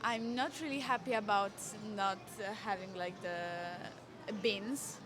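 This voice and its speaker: A woman in her twenties from Poland, interviewed on the street, speaking haltingly with many fillers. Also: medium pitch, a crowd in the background. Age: 20s. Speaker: interviewee, working visitor.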